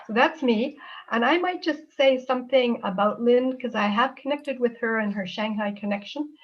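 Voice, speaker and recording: A woman's voice: fairly high pitch, normal speed, dull audio. A woman introducing herself on an online panel, speaking earnestly in long flowing sentences over a video call. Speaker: author and panelist.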